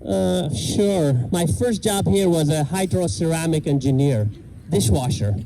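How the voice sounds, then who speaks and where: A middle-aged man from Iraq doing a self-deprecating stand-up set with deadpan punchlines, outdoors in a park. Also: on the high side, measured pace, gravelly, crowd noise, low-quality recording. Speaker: stand-up comedian. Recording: outdoor noise and passing traffic. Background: park ambience and vehicles.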